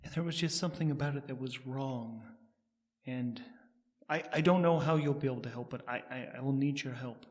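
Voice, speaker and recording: A man voicing a nervous, excitable holography expert in an audio drama, with halting, earnest speech. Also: medium pitch, long reverb tail, close to an everyday voice. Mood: wary, earnest. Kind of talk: solo audio drama role-play.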